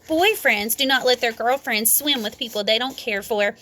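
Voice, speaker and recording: A woman's voice: fairly high pitch, moderate pace, sharp tone. A woman voicing a prissy, self-centred little rich girl in a children's storybook, imperious and nagging. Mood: annoyed, bossy. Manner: imperious, cooing pet names and nagging. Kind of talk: children's read-aloud storybook.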